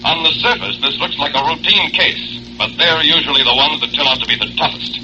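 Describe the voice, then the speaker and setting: Commanding squadron captain, businesslike, quick, fairly high voice, muffled noisy old radio. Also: male voice, pushed and bright. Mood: serious, brisk. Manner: authoritative, businesslike. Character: brisk, commanding male aviator hero, squadron captain. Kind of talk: 1940s radio acting.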